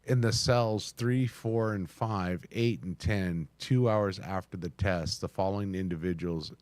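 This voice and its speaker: A man hosting a reaction video, reading text aloud somewhat haltingly and adding casual commentary. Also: sits low, darkish tone, no room sound. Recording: music playing behind the voice. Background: music.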